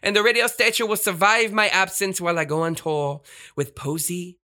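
An actor playing a flamboyant, fussy, excitable radio star with emphatic, theatrical delivery in a children's audio drama. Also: mid-range voice, normal speed, lightly acted. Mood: exasperated, bossy.

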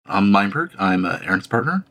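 A man, telling things casually and haltingly, repeating words as he goes. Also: on the low side, big pitch swings, pushed and bright. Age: late 30s. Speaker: podcast guest. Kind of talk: casual chat.

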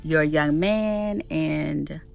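Casting: devoted girlfriend, thirties, lowish voice, frank, determined, light music bed. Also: slow, creaky, darkish tone, full character voice. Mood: earnest. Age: 30s. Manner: frank, earnest. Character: devoted thirty-five-year-old girlfriend, principled and determined. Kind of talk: scripted audio drama acting. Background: background music.

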